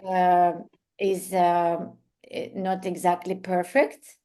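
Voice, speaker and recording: A woman with a Ukrainian accent introducing an online class as its instructor, speaking informally in halting, non-fluent English with hesitations. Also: mid-range voice, darkish tone. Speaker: course instructor. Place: online video call with screen sharing.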